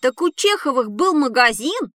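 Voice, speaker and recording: A woman's voice: high-pitched, big pitch swings, moderate pace, fairly bright tone, no echo, clean studio sound, soft background music. A woman voicing an inquisitive, outspoken, easily puzzled child in a children's audio drama.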